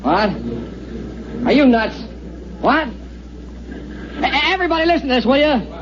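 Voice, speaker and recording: A man's voice: extremely high pitch, expressive, a little slow, background noise. An actor playing a flustered, nervous young city desk newspaperman in 1950s radio drama style. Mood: alarmed. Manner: incredulous, nervous. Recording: dull old radio recording.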